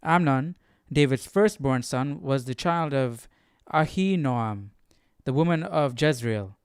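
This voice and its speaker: A man's voice: medium pitch, expressive, takes it slowly, clear, resonant tone, no echo, studio quality. A man hosting an informal Bible study, reading aloud and puzzling through the text hesitantly as he comments. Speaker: host of a live Bible study.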